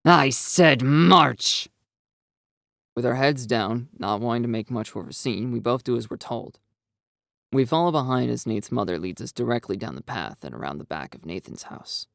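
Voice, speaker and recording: An audio story narrator delivering a scripted first-person tale. The pitch moves in an animated way and the voice has a creaky edge. The recording is dry, with music behind it. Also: fairly quick, no room sound. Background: background music.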